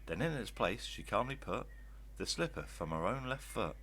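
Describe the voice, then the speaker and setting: An older man reading rhyming children's stories aloud in an expressive, theatrical style. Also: on the low side, normal speed, slight background noise. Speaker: children's story reader.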